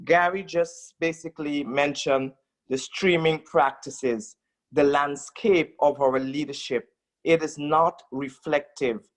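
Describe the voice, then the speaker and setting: Woman chiming in on a panel, talks real slow and careful. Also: sits low, steady tone. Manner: slow, deliberate. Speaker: panel participant. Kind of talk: short panel comment.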